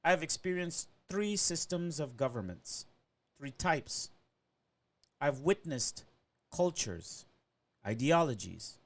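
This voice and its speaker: A man's voice: fairly high pitch, very animated, slow, pauses often. A man in his forties, from Trinidad and Tobago and Venezuela, rambling through a personal monologue as a podcaster and often ending thoughts with "right?".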